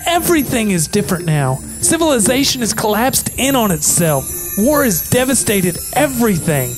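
Lady doing an earnest christmas helper in a radio play, really animated, music loud underneath. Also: on the high side, low-quality recording.

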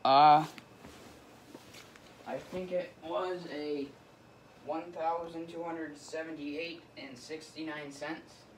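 A man's voice: on the high side, steady tone, slow pace, long pauses, low-quality recording. A teenage male prank caller speaking politely and hesitantly in a casual phone conversation, recorded in a small indoor room. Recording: faint background noise, room camera.

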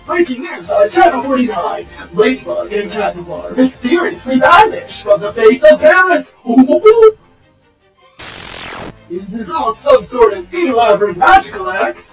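A woman's voice: high voice, big pitch swings, a little slow, muffled recording. A woman voicing a gloating TV-announcer supervillain in a comic dub, showy and taunting. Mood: showy announcing. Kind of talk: comic dub villain acting, game-show announcing. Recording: roomy, distant, music behind.

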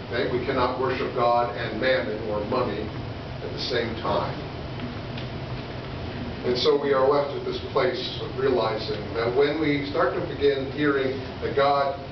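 A man in his sixties delivers a sermon from a lectern, phrasing it deliberately with pauses and rhetorical questions. His medium-pitched voice reaches a distant mic through heavy room echo. The recording is dull, with clear background noise. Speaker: preacher. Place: church sanctuary or hall, indoors at a lectern.

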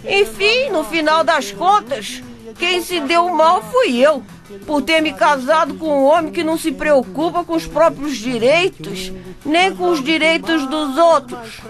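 A high-pitched Brazilian housewife tells her woes straight to the listener in a radio soap. She is gossipy and exasperated, with faint music behind her. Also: a bit bright. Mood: indignant, scolding. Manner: chatty, addresses listeners directly. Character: exasperated, gossipy bricklayer's wife narrating her misfortunes. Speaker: narrator and character. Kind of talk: radio soap opera acting, chatty storytelling.

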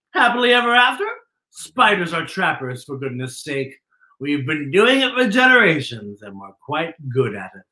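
A man's voice: high-pitched, bright, forceful tone, roomy sound, exaggerated voice, rough recording. Man putting on a sly, theatrical storybook spider. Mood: smug, arch. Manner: grandiose, arch, mock-polite, drawn-out. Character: sly, boastful, theatrical predatory spider trickster. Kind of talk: storybook character reading.

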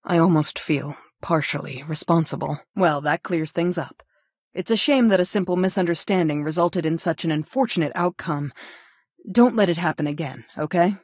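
A woman's voice: on the low side, a little mellow, slightly rough, no room sound, studio quality. A woman playing a stern, reproachful female school guidance counselor in a video game, speaking in a formal, scolding manner. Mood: sympathetic. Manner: formal, scolding, deliberate phrasing. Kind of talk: scripted game character dialogue.

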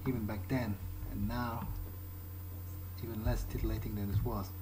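A man's voice: fairly low pitch, level tone, moderate pace, noisy background, natural voice. A man roleplaying a brooding, wry vampire in a video game playthrough, musing aloud in a hesitant, muted way. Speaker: let's player. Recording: game music behind voice.

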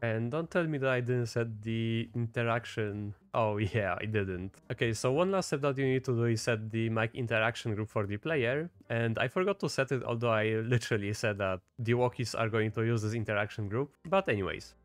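A man's voice: mid-range voice, brisk, hardly any pauses. A man giving a casual, step-by-step screen-recorded tutorial as the host. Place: voice-over at a computer.

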